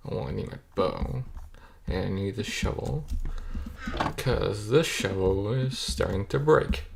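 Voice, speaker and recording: A young man talking casually while he games, thinking aloud and correcting himself mid-sentence. His voice is gravelly with plenty of fry, and it cracks because he feels a bit off, picked up by a desk mic at home. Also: on the low side, slow, noisy background. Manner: rambling, self-correcting, talks to himself. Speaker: gamer streaming a play session.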